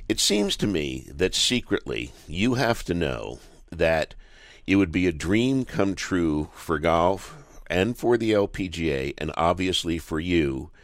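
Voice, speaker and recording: A man's voice: low voice, no room sound, studio quality. A man hosting a sports radio talk show, asking rambling questions in a conversational, jokey way. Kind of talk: casual sports radio interview.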